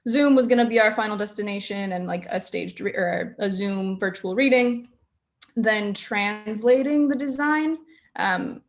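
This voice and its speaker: A woman in her early twenties talking casually on a video call panel, halting as she searches for words. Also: medium pitch. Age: early 20s. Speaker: student sound designer.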